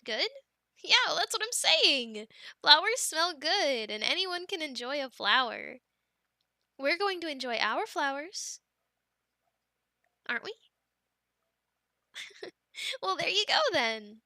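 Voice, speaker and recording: A young woman voicing an affectionate, teasing girlfriend in an ASMR roleplay, speaking softly, giggling and dropping into whispers, close to the mic. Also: high voice, big pitch swings, slow pace, pauses often, no echo, exaggerated voice. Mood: playful. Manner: soft and giggly, drifting into whispers.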